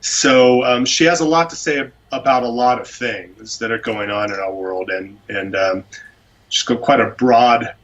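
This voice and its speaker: A man's voice: medium pitch, normal speed, fairly bright tone. Guest attorney, male, mid-range voice, eager and effusive, heard over a muffled phone connection. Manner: enthusiastic, gushing about a speaker. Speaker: animal rights attorney, guest. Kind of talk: phone interview.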